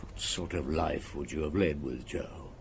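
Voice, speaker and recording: An actor playing a shrewd, compassionate middle-aged chief inspector in a radio drama, measured and probing. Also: male voice, a bass voice, vocal fry, a little hiss or hum, exaggerated voice. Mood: quiet, sympathetic. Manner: measured, probing, quietly sympathetic.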